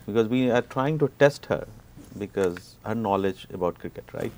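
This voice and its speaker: A man's voice: fairly low pitch, lively intonation, normal speed, a little mellow. A man hosting a sports talk show, asking questions and patiently explaining the basics of the game. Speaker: show host. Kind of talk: interview hosting.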